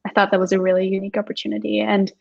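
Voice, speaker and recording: A woman's voice: mid-range voice, flat delivery, rapid-fire, vocal fry, muffled recording. A young woman from Austria, a startup co-founder, chatting casually as an interview guest and filling her speech with "like". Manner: chatty, many "like" fillers, laughs often.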